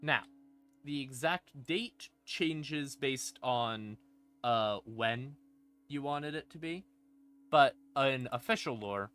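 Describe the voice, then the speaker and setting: Bright-voiced man, casual sweary explainer. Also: mid-range voice, slow, clean tone. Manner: sweary, irreverent, informal. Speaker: streamer explaining game lore. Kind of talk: casual unscripted lore explainer. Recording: background music under voice. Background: ambient music.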